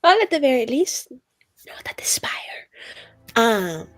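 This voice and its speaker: A woman roleplaying conversationally in a tabletop game, speaking in short, halting, questioning phrases. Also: high voice, very animated. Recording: background music.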